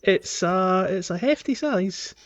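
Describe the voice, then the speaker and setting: A man co-hosting a video game podcast in casual chat, talking in a wordy, halting way and repeating words. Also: sits high, animated, highs cut off. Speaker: podcast co-host.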